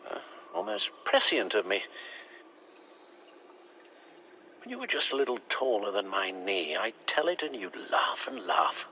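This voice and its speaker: Gravelly, kindly older father figure. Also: lowish voice, big pitch swings, pushed and bright, a little hiss or hum, heavily acted. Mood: wry, nostalgic. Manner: halting, wry. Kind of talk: video game dialogue acting.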